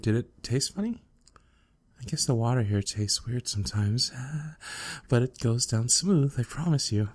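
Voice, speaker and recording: A close, dry recording of a man acting out a lovesick, obsessive male student in an ASMR audio drama. He speaks slowly in a soft, husky voice, stammering shyly and coaxing, with menace underneath. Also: mid-range voice, dry recording. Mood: eager, coaxing.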